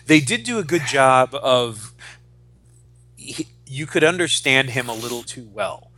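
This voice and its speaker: A man in his forties talks as a podcast host. His voice is bright and sharp, sitting at a middling pitch. He speaks casually, airing strong opinions and swearing as he rants. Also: expressive, measured pace. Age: 40s.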